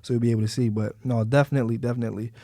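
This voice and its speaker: Philly dude hosting an interview, mellow voice, dry close sound, slangy n loose. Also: male voice, medium pitch, steady tone, very fast, dry recording. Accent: Philadelphia. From South Philadelphia, US. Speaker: interview show host. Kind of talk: casual interview conversation.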